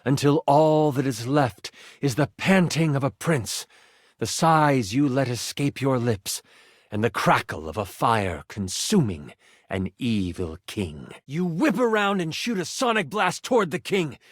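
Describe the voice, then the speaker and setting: Lively, theatrical fantasy game master. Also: male voice, medium pitch, unhurried, no room sound, clean studio sound. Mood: dramatic narrating. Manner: theatrical, suspenseful storytelling. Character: theatrical, playful male game master, personified gaming die. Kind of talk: dramatic tabletop fantasy narration.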